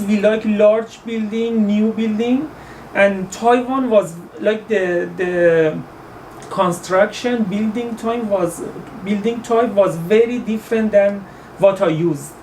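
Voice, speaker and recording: Halting Iranian-accented man, distant mic. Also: sits high, darkish tone. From Iran. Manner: halting, searching for words with many fillers and restarts. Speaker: interview guest. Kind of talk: casual interview in English. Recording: distant mic with faint background noise.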